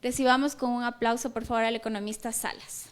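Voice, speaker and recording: A woman's voice: on the high side, steady tone, quick pace. A woman hosting the event, reading a formal introduction of the guest speaker. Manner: formal and declamatory. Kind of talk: scripted introduction.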